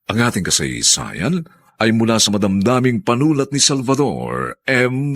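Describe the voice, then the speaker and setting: A man's voice: fairly low pitch, gravelly, fairly bright tone. A man with a Filipino accent announcing a radio drama in dramatic scripted narration.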